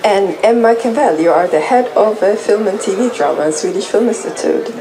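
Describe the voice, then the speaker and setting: Female moderator at a festival panel, halting delivery, audience murmur behind. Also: medium pitch, lively intonation, crowd noise, poor audio. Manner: halting, non-native phrasing.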